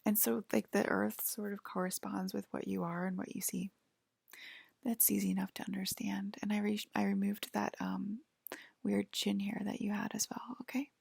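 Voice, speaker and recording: A woman doing a soft-spoken, whispery ASMR role-play as a calm alien guide, talking haltingly and matter-of-factly close to the mic. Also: medium pitch, no room sound. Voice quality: breathy, whispery. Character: calm alien abductor guiding a patient aboard a UFO.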